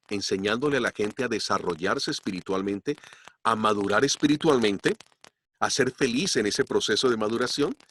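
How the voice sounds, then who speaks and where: A man preaching a sermon as pastor, slowing down and pushing up his voice to stress key points. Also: mid-range voice, fairly bright tone, slight background noise. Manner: emphatic, slows down to stress points. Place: church.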